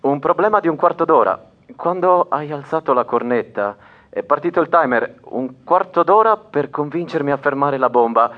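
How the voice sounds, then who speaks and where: Menacing male caller for radio drama, played by an actor: mocking, controlling, highly animated intonation. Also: normal speed, phone-quality sound, natural voice. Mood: taunting. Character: menacing, sarcastic male caller threatening a radio host with a bomb. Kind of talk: naturalistic radio drama acting.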